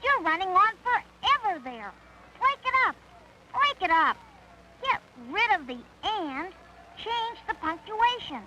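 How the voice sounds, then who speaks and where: A bossy cartoon parade director with a very high, bright voice. She drills and corrects in deliberate, exasperated orders over slight background noise. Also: big pitch swings, heavily acted, low-quality recording. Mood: commanding.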